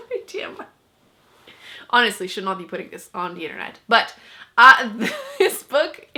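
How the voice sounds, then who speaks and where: Young woman, French native speaker, bright tone, chatty, self-mocking, laughs a lot. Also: on the high side. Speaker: book vlogger. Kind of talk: casual chat to camera.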